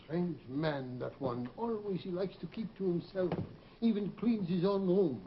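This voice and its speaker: A man's voice: sits high, unhurried. An actor playing an older, practical French father with a French accent, questioning and grumbling in a radio drama. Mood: curious. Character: fretful French father, older working man, practical and skeptical. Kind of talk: 1940s radio drama acting. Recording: dull, noisy old radio broadcast.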